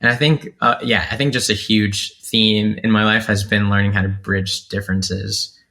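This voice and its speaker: Man from North Carolina, interview guest, low pitch, bright tone, thoughtful and rambling. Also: fairly even intonation, moderate pace, gravelly. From North Carolina, USA. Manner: reflective, many fillers and restarts. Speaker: guest, content creator. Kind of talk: casual interview talk.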